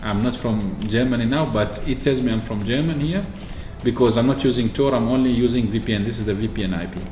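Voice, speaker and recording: A man from Oman chatting casually through a software walkthrough, explaining with fillers and restarts. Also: medium pitch, fast, noisy background. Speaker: tech video host. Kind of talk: screen-recorded software walkthrough. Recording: echoey room with faint ambience.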